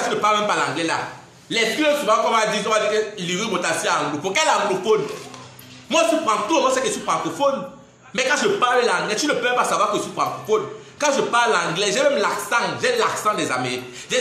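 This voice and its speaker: A man's voice: high-pitched, big pitch swings, brisk, pushed and bright, faint music behind. A man in his twenties with a Cameroonian French accent, ranting in a live video monologue, indignant and mocking, laughing between his jabs. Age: 20s. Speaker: social media personality. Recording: very echoey room.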